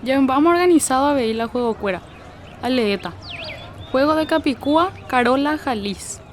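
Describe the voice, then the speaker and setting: A woman's voice: sits high, lively intonation, normal speed, slight background noise. An actress playing a woman who runs a village fair meeting, listing prizes and teams. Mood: brisk. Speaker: meeting organizer. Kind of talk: radio drama acting.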